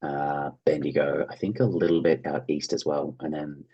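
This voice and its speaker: Very deep, husky Australian man. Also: level tone, normal speed, highs cut off. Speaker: interview guest, actor and writer. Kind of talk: casual interview chat, anecdotes.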